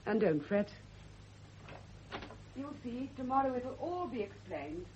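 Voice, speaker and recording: An actress playing a controlled, genteel woman friend in a vintage radio drama, speaking in a measured, soothing way. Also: a little high, sing-song, darkish tone. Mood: reassuring. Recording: dull, hissy vintage radio recording.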